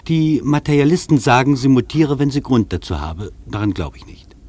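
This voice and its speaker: An actor playing a wry, opinionated traveller who narrates his journey in a dry, measured, ironic way, with a husky voice. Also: medium pitch, very animated, darkish tone. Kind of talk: literary first-person radio narration.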